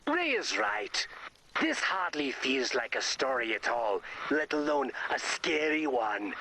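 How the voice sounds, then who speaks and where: Slow theatrical spooky villain. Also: male voice, medium pitch, big pitch swings, sharp tone, a little hiss or hum, exaggerated voice, poor audio. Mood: pompous, disapproving. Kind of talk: video game voice acting.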